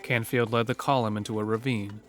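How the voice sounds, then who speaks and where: A man's voice: on the low side, fairly even intonation, a little fast, fairly bright tone, faint music behind. A man narrating a scripted western horror story for an audio drama.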